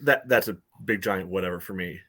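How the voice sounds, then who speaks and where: This is a man with a lowish voice who speaks bluntly and sardonically. He swears casually and gets straight to his point. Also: brisk, bright, forceful tone, husky. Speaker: podcast co-host. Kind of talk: casual sports podcast chat.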